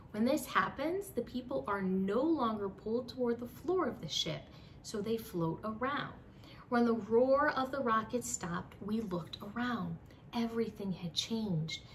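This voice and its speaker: A young woman reading a children's storybook aloud in an expressive storyteller style. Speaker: children's book reader. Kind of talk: animated storybook read-aloud. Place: small home room with bookshelves. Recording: slightly distant mic, faint rumbling noise.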